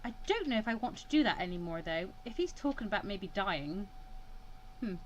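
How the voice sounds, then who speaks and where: A woman's voice: medium pitch, big pitch swings, a little fast, highs cut off, slight background noise. A woman giving casual, giggly, theatrical gameplay commentary while reading a visual novel aloud. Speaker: let's-player.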